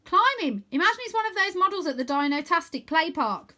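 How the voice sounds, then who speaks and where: A woman voicing a bossy, confident girl who calls out brisk instructions in a storybook. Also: very high voice, big pitch swings, sharp tone, voice pushed up, heavily acted. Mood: brisk, instructing. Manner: brisk, commanding, calling out.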